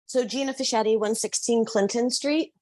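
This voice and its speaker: A woman with a loud voice calling in to make an earnest public comment at a council meeting. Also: a little high, dry recording. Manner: earnest, measured advocacy. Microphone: phone or call-in line.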